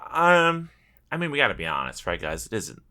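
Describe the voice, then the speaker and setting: A man's voice: medium pitch, wildly swooping pitch, a little fast, pushed and bright. A man co-hosting a podcast in casual comedic banter, teasing and swearing freely. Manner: teasing, profane. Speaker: podcast co-host.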